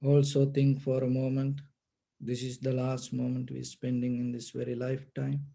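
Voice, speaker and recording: A man teaching Buddhist meditation, giving gentle, measured guided instructions in short phrases with pauses. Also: mid-range voice, vocal fry.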